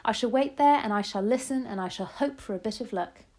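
A woman's voice: on the high side, brisk, a little mellow, pitched above the performer's own voice, natural voice. Woman doing an eager boy turned mouse.

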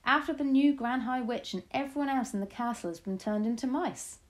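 Woman acting an excitable, clever young mouse-boy. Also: fairly high pitch, a little fast, voice pushed up, close to an everyday voice. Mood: concerned, curious. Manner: excitable, earnest exclamations. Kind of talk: storybook read-aloud.

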